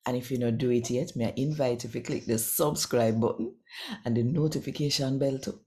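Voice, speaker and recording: A Jamaican woman hosting a channel and reading scripture aloud in a deliberate, expressive storytelling way. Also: rumbling low. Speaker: channel host and scripture reader. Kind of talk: devotional Bible reading in Patois.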